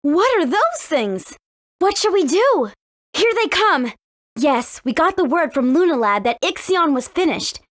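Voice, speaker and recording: A woman's voice: high-pitched, very animated, a little slow, a bit bright, no room sound, studio quality, heavily acted. A woman voicing a spirited, cautious fifteen-year-old warrior princess in a video game, earnest and youthful in her delivery. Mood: determined. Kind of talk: English video game voice acting.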